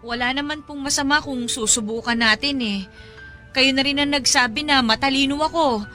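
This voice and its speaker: A woman's voice: high-pitched, moderate pace, pushed and bright, faint music behind. A young woman playing a reluctant, dutiful daughter in a radio drama, pleading timidly and respectfully. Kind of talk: Tagalog radio drama acting.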